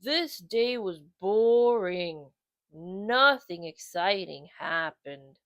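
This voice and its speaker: A woman's voice: medium pitch, animated, extremely slow, dry recording. Woman voicing a lazy, drawling male bulldog. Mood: bored. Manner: drawling, sluggish delivery. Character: lazy, bored, slow-talking male bulldog, laid-back and skeptical. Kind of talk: children's storybook character voice.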